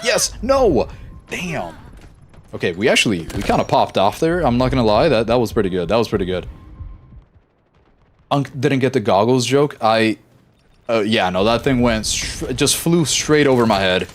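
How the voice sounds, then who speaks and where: A young man live-streaming a shooter game, chatting casually with his viewers and reacting quickly during fights. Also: medium pitch, very animated, faint music behind. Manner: easygoing, chatty, reads chat aloud, quick reactions mid-fight. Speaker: gaming streamer. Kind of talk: casual live-stream chat while playing.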